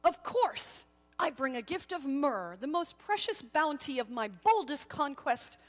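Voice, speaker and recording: A woman's voice: high-pitched, sing-song, unhurried, long reverb tail, soft background music. A woman playing a proud, commanding male warrior king in a storybook, emphatic and defensive, stammering when challenged.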